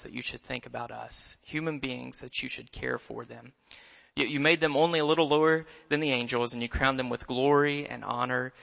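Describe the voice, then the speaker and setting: Gravelly young preacher in a reverberant church. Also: male voice, mid-range voice, bright, forceful tone. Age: 20s. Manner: conversational, self-deprecating humor. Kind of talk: sermon. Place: church sanctuary.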